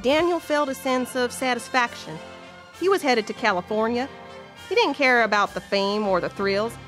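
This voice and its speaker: A woman's voice: on the high side, expressive, normal speed, a bit bright, faint music behind. A woman playing a folksy, wry Old West storyteller, narrating an audio drama. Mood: wry storytelling. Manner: folksy, colloquial.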